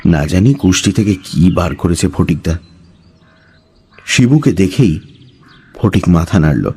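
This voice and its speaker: A man's voice: deep voice, lively intonation, measured pace, darkish tone, breathy edge. A man giving a dramatic, scripted narration of a horror story. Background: background music.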